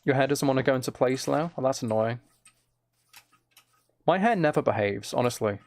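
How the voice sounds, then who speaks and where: Gravelly man chatting on a game stream. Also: medium pitch, animated, normal speed, darkish tone, a little hiss or hum. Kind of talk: casual livestream chat while gaming, relaxed ASMR.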